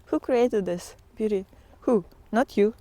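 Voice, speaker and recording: A woman giving a casual, unscripted spiritual monologue in a reflective, halting way, often trailing into pauses. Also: mid-range voice, dark tone. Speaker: spiritual teacher vlogger.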